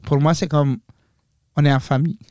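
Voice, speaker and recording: A young man hosts a relaxed panel chat. His voice sits a bit higher than most men's, and he talks in an enthusiastic, playful way. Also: normal speed, clear, resonant tone, no room sound, studio quality. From Mauritania. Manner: enthusiastic, joking. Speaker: podcast host.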